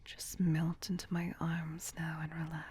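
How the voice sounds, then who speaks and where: Woman as a slow, whispering vampire queen. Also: low-pitched, monotone, a little mellow, heavily acted. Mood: tender, coaxing. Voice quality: breathy, unvoiced whisper. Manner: soothing, slow, affectionate pet-name coaxing. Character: doting vampire queen, tender, possessive female seductress. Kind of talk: whispered ASMR roleplay.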